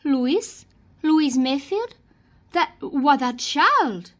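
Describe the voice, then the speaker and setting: A woman's voice: high voice, lively intonation, very slow, a bit bright, heavily acted. A woman playing a prim, imperious society social secretary, emphatic and proper, in a radio drama. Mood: affronted. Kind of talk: old-time radio drama acting.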